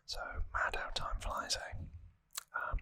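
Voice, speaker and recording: An adult ASMR host speaks entirely in a breathy, sharp-edged whisper. The delivery is very slow and gentle, rambling with hesitant pauses. It is captured close to the microphone in a dry space. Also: no room sound.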